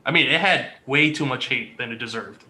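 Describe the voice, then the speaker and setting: A woman co-hosting a casual chat, her voice bright and sharp. She rants in an exasperated way, curses and mocks what she describes in little put-on voices. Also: very deep voice, brisk, slight background noise. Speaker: podcast co-host.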